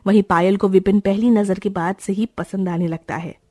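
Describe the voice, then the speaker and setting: A woman narrates a family story in a steady, scripted tone. Her voice is mellow with a soft husky grain. The recording is dry and close. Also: mid-range voice, moderate pace, dry recording.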